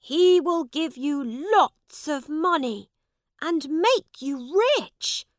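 A woman doing a shrill, desperate boy prince. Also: very animated, very slow, dry recording, studio quality, exaggerated voice. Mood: pleading. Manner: shouting, high-pitched, tearful at times. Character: proud young boy prince, commanding then pleading.

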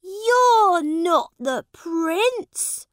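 A pack of jeering schoolboys taunts in a high, loud voice, as a woman reading puts them on. The words come slowly and are stretched out with scorn. The sound is close and dry. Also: very animated, no room sound, full character voice. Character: group of mocking schoolboys.

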